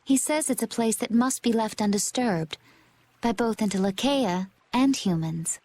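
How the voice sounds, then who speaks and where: A composed, higher-voiced young fighter. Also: female voice, measured pace, warm tone. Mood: calm. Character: calm, composed, poised young woman fighter. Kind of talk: video game voice acting.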